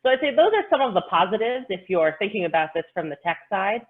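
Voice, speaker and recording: A woman giving articulate, measured explanations as an expert panelist on a video call. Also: mid-range voice, sing-song, breathy edge. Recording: narrow, phone-like call audio.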